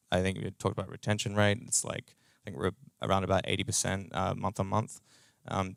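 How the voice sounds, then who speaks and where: A man with a New Zealand accent speaks in a fairly low, smooth voice that is captured very dry and close. He presents informally as a company co-founder, in a loose, slangy way with plenty of hedging. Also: no room sound. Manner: casual, halting, slangy, hedging with "like" and "sort of". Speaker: startup co-founder, tech and growth executive. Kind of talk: informal conference talk.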